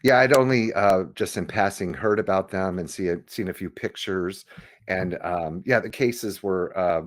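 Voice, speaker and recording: A man co-hosting a casual chat about computer history, haltingly thinking out loud as he goes. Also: low-pitched. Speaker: podcast co-host.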